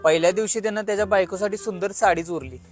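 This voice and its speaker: Casting: cheeky, lazy rickshaw driver played by a man, high-pitched male voice, wisecracking cartoon comedy, music underneath. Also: racing, natural voice. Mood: chatty, joking. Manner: wisecracking, colloquial. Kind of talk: comic cartoon dialogue.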